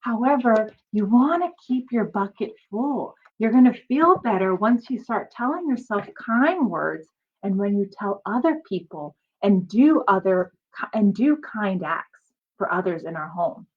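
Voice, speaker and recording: A woman reading a children's storybook aloud in an expressive way, drawing out her emphasis and pausing to explain things to young listeners, like a teacher. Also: mid-range voice. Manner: animated, drawn-out emphasis, explains to children as she goes. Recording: dull lo-fi sound.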